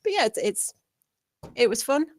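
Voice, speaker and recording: A woman's voice: fairly high pitch, very animated, moderate pace, dry recording, studio quality. A woman giving informal spoken script feedback, explaining her points in a rambling way.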